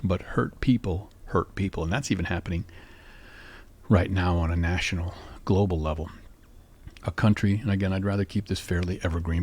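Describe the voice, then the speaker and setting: A man in his fifties from North Carolina, hosting a rambling solo monologue in a sleepy, calm and even voice. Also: slightly rough. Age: 50s. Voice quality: low, sleepy voice. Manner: calm, musing, even delivery. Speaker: podcast host.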